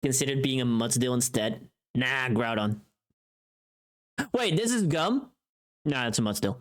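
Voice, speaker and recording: A woman with a low voice that swings widely in pitch. She chats casually as a livestream host, playfully teasing her viewers and swearing freely, with expressive bursts of mock outrage. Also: normal speed.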